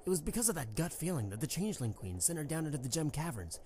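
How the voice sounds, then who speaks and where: A woman's voice: low voice, big pitch swings, fast, mellow. A woman playing a young pony prince and fond husband, a protective worrier, conversational and earnest in an amateur audio drama. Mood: worried, earnest. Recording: slightly noisy amateur microphone recording.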